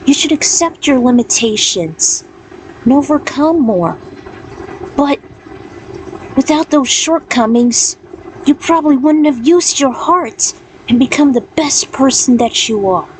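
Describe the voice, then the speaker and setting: A woman's voice: high voice, lively intonation, unhurried, darkish tone, pitched above the performer's own voice. A woman voicing a tender, devoted male warrior in a story, earnestly consoling his beloved. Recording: clearly noisy background.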